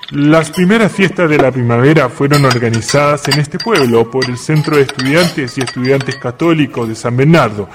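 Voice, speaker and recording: A man's voice: on the high side, big-room sound, background noise, lightly acted. An actor in a community radio drama playing a proud, hospitable father with a Chilean accent, telling stories to his guests in a folksy manner. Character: proud father of Dalila, genial small-town host.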